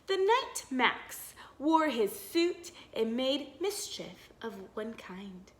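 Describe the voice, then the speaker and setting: A woman teacher reading a picture book aloud to young children, expressive and encouraging, pausing to explain and ask simple questions. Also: sits high. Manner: encouraging, expressive, exaggerated wonder, asks the listener questions.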